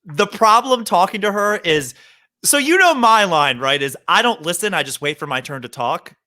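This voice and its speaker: A man's voice: high voice, sing-song, normal speed, pushed and bright. A man with a Baltimore accent, co-hosting a casual comedic chat and mimicking voices. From Baltimore, Maryland. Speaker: podcast co-host.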